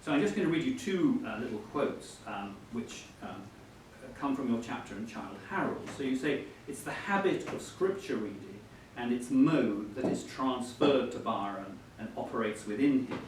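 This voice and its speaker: A man acting as interviewer at a book event, putting questions politely in halting, hesitant phrases. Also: mid-range voice, expressive. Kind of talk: conversational questioning before an audience. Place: indoor event hall. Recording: echoey hall, distant mic, faint noise.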